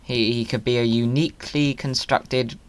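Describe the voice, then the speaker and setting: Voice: medium pitch, steady tone, moderate pace, a little hiss or hum. A whimsical, playful video host giving casual commentary and chat during a game.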